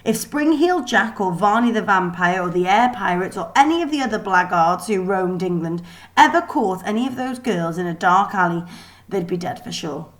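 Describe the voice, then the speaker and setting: A woman reading a children's story aloud in an expressive, deliberate way with dramatic emphasis. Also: medium pitch. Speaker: teacher reading a book aloud.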